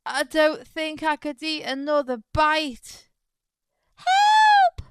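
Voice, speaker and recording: A woman's voice: high-pitched, wildly swooping pitch, slow pace, heavy background noise, pitched above the performer's own voice, exaggerated voice. Woman voicing a groaning, greedy storybook iguana. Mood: groaning, alarmed. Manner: groaning, exaggerated distress. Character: greedy male iguana with a bulging belly. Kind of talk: storybook character voice.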